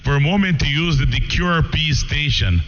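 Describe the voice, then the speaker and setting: A man's voice: fairly high pitch, moderate pace, fairly bright tone, faint music behind. A Brazilian man, a native Portuguese speaker, making a ham radio contact in broken English with short phrases over a radio transmission. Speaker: amateur radio operator. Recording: muffled radio audio with long reverb.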